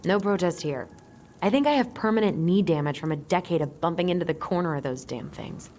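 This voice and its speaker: Low-voiced, supportive young American woman. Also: lively intonation, brisk, a little mellow, a little hiss or hum. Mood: teasing. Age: young adult. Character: teasing, supportive young woman, loyal sibling. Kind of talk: naturalistic game dialogue.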